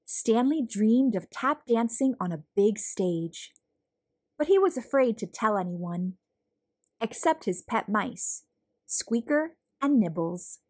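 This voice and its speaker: A woman reading a children's story aloud with expressive, deliberate phrasing. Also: on the high side, husky. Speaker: storybook reader, host. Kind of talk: children's read-aloud narration.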